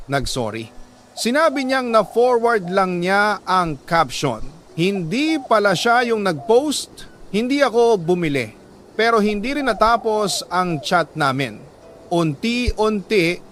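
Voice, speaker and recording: Male radio storyteller, sentimental dramatic read, unhurried with long pauses, slight background noise. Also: high voice, fairly bright tone. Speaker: radio storyteller reading a listener's letter. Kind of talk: dramatic scripted narration of a love story.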